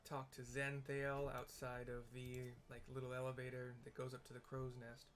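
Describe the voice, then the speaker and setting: A man giving casual, improvised commentary on a game and describing the visuals, halting as he thinks aloud. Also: mid-range voice, monotone, fairly bright tone. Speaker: gamer narrating a playthrough with visual descriptions. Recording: game music behind the voice. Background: video game music.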